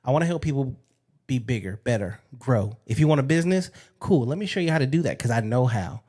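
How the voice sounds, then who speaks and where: A man's voice: mid-range voice, lively intonation, quick pace, dark tone. A man hosting a podcast, talking in a frank, casual way and swearing freely. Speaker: podcast host. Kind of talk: casual interview chat.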